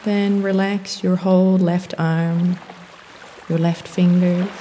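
A woman leading a guided meditation in a calm, gentle, soothing and measured manner. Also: lowish voice, slight background noise. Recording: long reverb, background wash of waves. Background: relaxing ocean waves.

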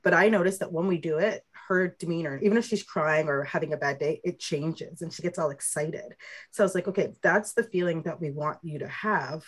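Young woman w a really low voice, reflective, chatting like a guest. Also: a little fast, breathy edge. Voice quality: lower voice. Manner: reflective, talks in imagery. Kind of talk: casual conversation.

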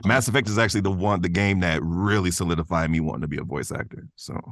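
A male podcast guest with a low, deep voice, chatting casually. He sounds easygoing and thinks aloud as he goes, recorded dry and without room sound. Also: fast talker, a bit bright, dry recording. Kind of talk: casual chat.

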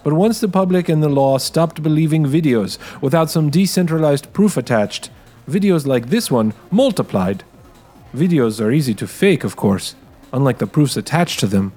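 A man's voice: on the high side, animated, moderate pace, warm tone, soft background music. A man narrating first-person audio fiction in character as a wary, streetwise ex-con. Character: wary, streetwise male ex-con in a tech dystopia. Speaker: narrator of a dramatized story, in character.

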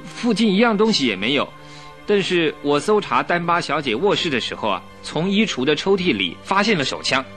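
A man's voice: fairly high pitch, big pitch swings, brisk, fairly bright tone, soft background music. An actor playing a cocky, insistent police inspector in a radio drama, emphatic and pressing as he questions. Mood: self-assured. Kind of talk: Chinese radio drama acting.

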